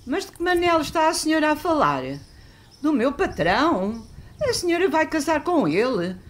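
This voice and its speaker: A woman's voice: high voice, very animated, normal speed. A woman playing a naive, flustered young grocery assistant in a radio soap, protesting innocently in a European Portuguese accent. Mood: innocent, puzzled. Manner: innocent, protesting, comically literal. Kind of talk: old-fashioned radio soap acting.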